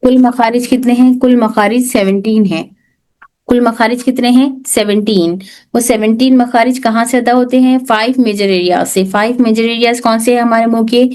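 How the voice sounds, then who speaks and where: A woman's voice: fairly high pitch, steady tone, moderate pace, darkish tone. A woman teaching an online class who asks questions and then answers them herself, mixing English terms into her explanations. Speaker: tajweed teacher.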